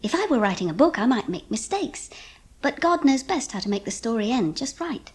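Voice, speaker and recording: Husky, expressive Edwardian mother. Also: fairly high pitch, a little fast. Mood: wistful, encouraging. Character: gentle, loving mother in Edwardian England, brave but wistful. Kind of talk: dramatised audiobook narration and dialogue.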